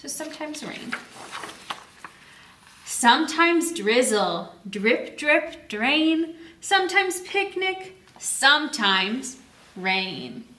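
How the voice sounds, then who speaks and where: High pitched lady doing story time for kids, asks questions n answers them, roomy echo, mic kinda far away. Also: very animated, very slow, bright, forceful tone. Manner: simple teacherly phrasing, asks and answers own questions. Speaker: children's librarian reading a picture book aloud. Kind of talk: children's story time read-aloud with questions and explanations. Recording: echoey room, distant microphone.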